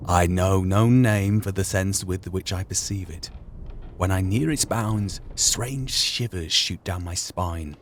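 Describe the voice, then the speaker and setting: A man's voice: low voice, slow. A man voicing a lonely, tormented old professor, reading a journal aloud in a formal, theatrical way. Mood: dread. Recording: faint background noise.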